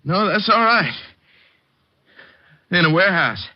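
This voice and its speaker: A gangster boss in a vintage radio crime play, with a fairly high, very animated voice. He talks in sardonic street slang, part bully and part coward, on a muffled recording. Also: measured pace, no room sound. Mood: cowed. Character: male racketeer boss, sneering, cowardly when hurt. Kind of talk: old-time radio crime drama acting.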